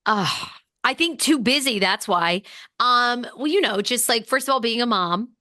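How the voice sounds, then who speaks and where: A woman chats on a podcast with a bright, forward tone that is slightly hoarse. She talks fast, with plenty of fillers. The sound is close and dry, with no room echo. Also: a little high. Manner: fast, animated talk with many fillers. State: slightly hoarse, losing her voice. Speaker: podcast host and guest. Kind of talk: casual chat. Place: Los Angeles podcast studio.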